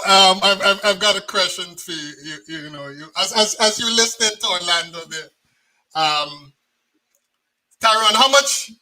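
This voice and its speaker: A man's voice: sits high, pushed and bright, poor audio. A man hosting an informal talk show, often repeating his words and phrases as he goes.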